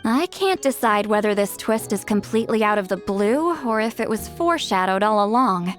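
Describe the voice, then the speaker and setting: A woman's voice: medium pitch, lively intonation, moderate pace, faint music behind, close to an everyday voice. A woman playing a proud, prickly centuries-old shaman in an anime-style video game, with a haughty, curt manner. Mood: hesitant. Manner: haughty, curt, theatrical sighs and threats.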